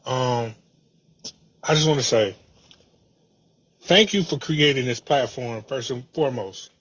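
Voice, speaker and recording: A man speaks in an informal recorded voice message. His pitch is medium, and the sound is dull and lo-fi, like a phone voice note, with little room echo. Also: a little slow, fairly bright tone, breathy edge. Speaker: listener leaving a voice note.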